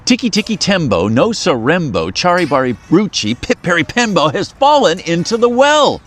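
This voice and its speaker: A man's voice: high-pitched, very animated, moderate pace, fairly bright tone, pitched above the performer's own voice, exaggerated voice. A man voicing a small, earnest boy who is out of breath and panting, reading a storybook aloud. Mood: frantic.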